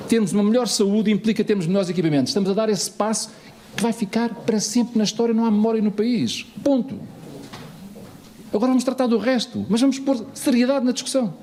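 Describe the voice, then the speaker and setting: A man speaks to a municipal assembly in a high, lively voice. He swings his pitch widely, piling up rhetorical questions and repeated phrases with emphasis. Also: fairly quick, a little hiss or hum. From Lisbon, Portugal. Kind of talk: political speech in an assembly.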